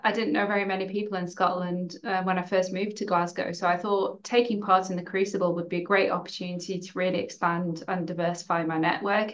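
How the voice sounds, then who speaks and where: A lower-pitched woman with an English accent answers interview questions as an academic guest. She speaks in an earnest, measured way and leans on emphasis. The recording sounds a little dull and muffled. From England. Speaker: senior lecturer, interview guest.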